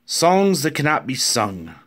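A man voicing a gentle, melancholy male owl in a storybook reading, his voice slightly raised and mournful. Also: on the high side, big pitch swings, unhurried, full character voice.

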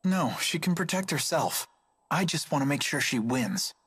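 A gentle, caring teenage boy in a fantasy game, acted by a man. He sounds youthful, earnest and a little hesitant, with a husky grain. The sound is dry. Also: fairly high pitch, animated, normal speed, no room sound, heavily acted. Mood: earnest, unsure. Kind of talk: English game voice acting.

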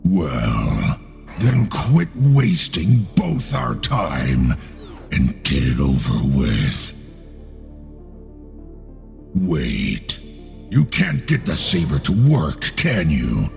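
A man playing a corrupted robot warlord in an animated drama, gravelly, taunting and theatrically bitter. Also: a bass voice, soft background music, exaggerated voice. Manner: slow, theatrical, sneering. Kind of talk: dramatic animated-film acting.

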